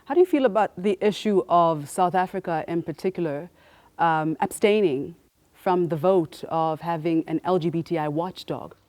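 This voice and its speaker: A woman hosting a televised studio debate, introducing guests and putting questions to them in a brisk way. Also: fairly low pitch, darkish tone. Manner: brisk, leads applause. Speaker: debate host. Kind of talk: TV studio moderating.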